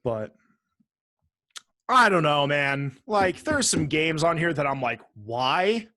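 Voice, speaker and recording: A man's voice: a little high, big pitch swings, slow, bright, forceful tone, no room sound, clean studio sound. A man co-hosting a podcast, chatting casually with some swearing as he runs through a ranked list. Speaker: podcast co-host. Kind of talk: casual chat, reading out a ranked list with commentary.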